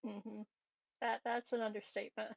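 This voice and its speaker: A woman's voice: on the high side, little pitch movement, moderate pace, creaky, dry recording, muffled recording. A woman playing a tabletop roleplaying game, chatting casually, half in character.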